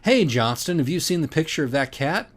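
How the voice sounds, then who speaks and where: A man acts out a jovial male office worker from a storybook parody. The voice is pushed up high, hearty and corny, far too delighted by banal small talk. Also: big pitch swings, brisk. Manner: hearty, corny, overly cheerful.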